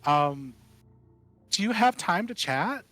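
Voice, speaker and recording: A man's voice: high voice, big pitch swings, slow pace, bright, forceful tone, voice pushed up, exaggerated voice. A man voicing a flirty, friendly female adventurer in a tabletop game, sweet and coaxing.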